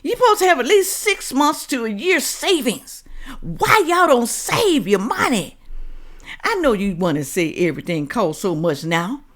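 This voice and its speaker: An American woman giving opinionated, confrontational commentary straight to viewers, blunt and full of rhetorical questions. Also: high-pitched. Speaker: host of a reaction commentary show.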